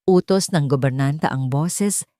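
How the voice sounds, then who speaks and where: A woman with a slightly low voice narrates a scripted romantic drama. The sound is dry and close, and her delivery is that of a storyteller reading prose. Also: unhurried, mellow, no echo.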